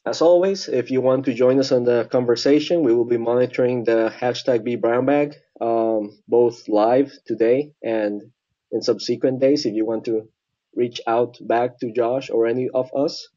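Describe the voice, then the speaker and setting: A man hosting an online tech show, introducing the guest in a friendly, halting way with many fillers. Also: mid-range voice, fairly even intonation, warm tone, highs cut off. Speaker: host.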